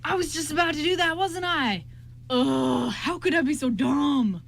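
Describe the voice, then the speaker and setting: A woman's voice: high-pitched, a little slow. A woman playing an anxious, lovestruck young groom in an amateur radio play, stammering and repeating words. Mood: sheepish, earnest. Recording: clearly noisy amateur recording with hum.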